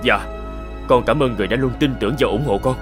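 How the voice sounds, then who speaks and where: A man's voice: mid-range voice, fast, over music, close to an everyday voice. A man voicing a brave, earnest young god, a resolute and respectful cartoon hero.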